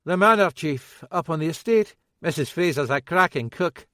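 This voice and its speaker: A man's voice: on the high side, expressive, moderate pace, fairly bright tone, no echo, studio quality, pitched above the performer's own voice. A man voicing a cheerful young Scottish constable with an obliging, chirpy manner and a Scottish accent.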